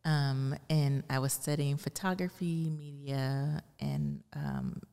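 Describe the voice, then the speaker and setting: A woman's voice: very deep voice, animated, slow, vocal fry, dark tone, clear, resonant tone. A middle-aged American woman from Texas giving casual conversational answers as an interview guest. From Houston, Texas, United States. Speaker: art curator, interview guest. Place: indoor art gallery. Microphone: close podcast mic on boom arm, headphones. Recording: reverberant gallery room.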